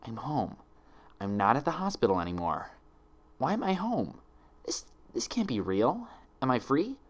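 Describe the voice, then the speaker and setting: A man voicing an anxious, excitable, bookish young female pony scholar in a story reading. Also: medium pitch, moderate pace, voice pushed up. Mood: bewildered fear. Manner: agitated, questioning herself.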